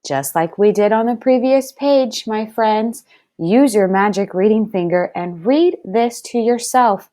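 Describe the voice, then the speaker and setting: A woman teacher reading aloud to young children, enunciating deliberately and addressing them directly in an encouraging way. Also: medium pitch, dark tone. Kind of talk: slow read-aloud lesson for young children.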